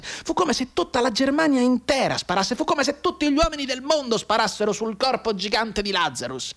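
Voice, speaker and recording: An actor playing a soldier telling a dead comrade's mother about war, in a theatrical, repetitive radio monologue that builds from hushed asides to outbursts. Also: sits high, slightly rough, full character voice. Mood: anguished, insistent.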